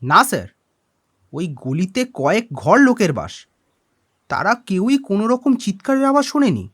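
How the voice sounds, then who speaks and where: An actor playing a brisk, respectful secretary in his twenties in an audio drama, reporting deferentially. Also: high voice, huge pitch swings, fairly bright tone, slightly rough, exaggerated voice. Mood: earnest reporting. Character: brisk, eager, respectful young male secretary. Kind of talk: audio detective drama acting.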